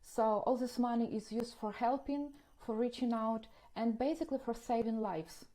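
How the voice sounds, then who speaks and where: A woman's voice: fairly high pitch, normal speed, mellow. A woman from Ukraine with a Ukrainian accent, giving an earnest, explanatory first-hand report as a ministry coordinator. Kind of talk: interview, first-hand account.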